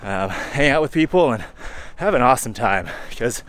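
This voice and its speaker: A man's voice: medium pitch, normal speed. Laid-back man vlogging on a forest trail. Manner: relaxed, rambling, thinking aloud with fillers. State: sweaty and hot while hiking. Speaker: hiking vlogger. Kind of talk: casual vlog talk to camera. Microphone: handheld camera held at arm's length.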